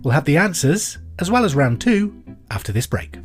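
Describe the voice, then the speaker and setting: Lively male quiz host over guitar music. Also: on the high side. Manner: repeats questions clearly and deliberately. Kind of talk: pub quiz question reading, scripted presenting.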